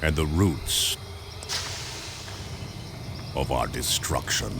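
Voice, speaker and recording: An actor playing a grave, resolute space marine captain, solemn and commanding in a video game briefing. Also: male voice, rumbling low, extremely slow, gravelly, noisy background, heavily acted.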